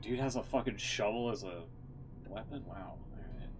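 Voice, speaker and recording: A man's voice: lowish voice, normal speed, loud music behind the voice. A man streaming a game and giving casual, informal, sweary reaction commentary.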